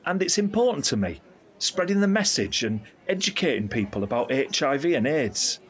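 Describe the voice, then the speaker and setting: An actor playing a candid, caring middle-aged uncle in an audio drama, speaking in an earnest, reflective, explanatory way. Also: male voice, a little high, very animated, moderate pace, fairly bright tone. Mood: hopeful, informative. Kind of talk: scripted audio drama dialogue.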